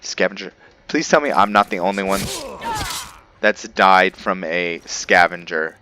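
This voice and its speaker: A man giving casual, somewhat halting commentary over gameplay as the host of a gaming video. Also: low voice, steady tone, bright, forceful tone, slight background noise.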